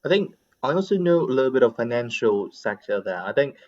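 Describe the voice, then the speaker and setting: A man's voice: medium pitch, very animated, fast, muffled recording, a crowd in the background. A man chatting in an English conversation session, explaining his opinions in a wordy way as he searches for words. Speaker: English conversation practice participant. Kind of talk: casual chat, explaining opinions.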